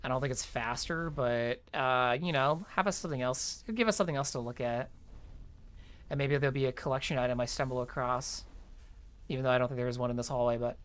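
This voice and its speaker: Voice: medium pitch, a little fast, clean tone. A casual game streamer with a mid-range voice talks viewers through the play. The delivery is informal and chatty, explaining things and thinking aloud along the way. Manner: chatty, informal, mild swearing. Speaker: streamer playing an online game. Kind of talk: casual game walkthrough commentary.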